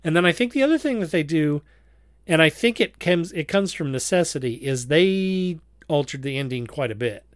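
A man's voice: a little high, expressive, normal speed, dry recording, clean studio sound. A man hosting a casual review discussion, feeling his way through his points in a hesitant, circling way. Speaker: podcast host.

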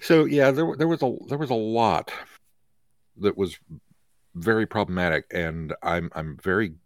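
Deep-voiced man in halting, opinionated chat. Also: dry recording, studio quality. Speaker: podcast co-host. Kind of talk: casual fan discussion.

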